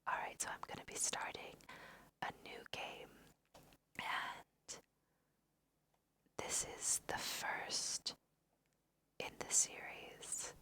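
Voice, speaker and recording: Young female ASMR gamer, hushed crisp whisper, very slow delivery, gentle guiding commentary. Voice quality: soft breathy whisper. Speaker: host of an ASMR gaming video. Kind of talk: ASMR let's play commentary.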